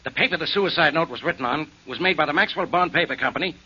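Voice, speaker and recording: An actor playing a grumbling, sarcastic police inspector in a 1940s radio drama. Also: male voice, fairly high pitch, fast talker, pushed and bright, breathy edge, full character voice. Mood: impatient. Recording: dull old radio recording with hiss.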